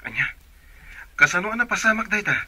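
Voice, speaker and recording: A man's voice: sits high, expressive, fairly quick, bright, forceful tone, exaggerated voice. A man playing a caring young sweetheart in a radio drama, with a Filipino accent. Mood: surprised.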